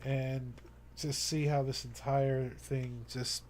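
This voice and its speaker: A man's voice: medium pitch, level tone, slow pace, a little mellow, a little hiss or hum. A young man chatting casually to his live-stream viewers, rambling and earnest, with many fillers and restarts. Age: young adult.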